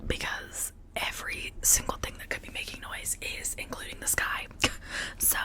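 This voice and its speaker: A woman speaking in a breathy, unvoiced whisper, rambling casually and confidingly in short, halting phrases as an ASMR host.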